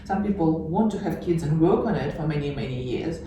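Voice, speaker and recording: A woman's voice: low voice, lively intonation, brisk, slight background noise. A middle-aged woman from Siberia with a Russian accent, telling her life story as an interview guest, reflective and hesitant with frequent laughs. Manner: warm, reflective, many hesitations, laughs often. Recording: echoey room from far off.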